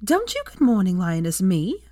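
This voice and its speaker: A woman's voice: medium pitch, huge pitch swings, normal speed, dark tone, no room sound, clean studio sound. A woman voicing a furious young witch who shrieks her accusations. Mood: indignant.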